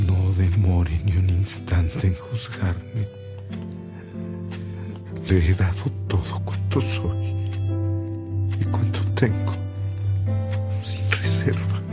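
Slow, deep-voiced ailing old bishop. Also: male voice. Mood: resolute, fervent. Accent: Mexican. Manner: solemn, deliberate. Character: ailing, devout old Mexican bishop near death, fearless and solemn. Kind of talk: radio drama acting.

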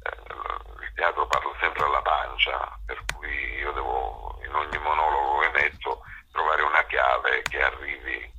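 A man with a gravelly, husky voice answering as an interview guest, explaining haltingly and emphatically with drawn-out words. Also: rumbling low, fairly even intonation, bright, forceful tone, highs cut off, a little hiss or hum. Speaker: interview guest, actor and director. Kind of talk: conversational interview.

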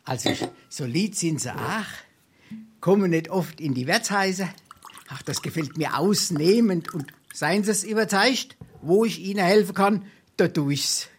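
A man's voice: high-pitched, husky. Down-at-heel male braggart, Darmstadt Hessian dialect, wheedling and pompous, spinning tall tales in theatrical comedy style. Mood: ingratiating, self-important. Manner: grandiloquent, halting, wheedling.

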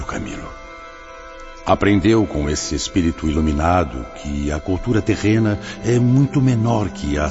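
Clear music runs under a very deep, muffled voice: a serene spirit mentor. He speaks, solemn and benevolent, preaching like a wise father in a radio drama. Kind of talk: Portuguese-language radio drama acting.

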